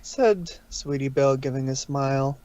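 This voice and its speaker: A man's voice: mid-range voice, moderate pace, dark tone. Man narrating a story, dull recording. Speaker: narrator of a fan fiction reading. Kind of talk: scripted story narration read aloud.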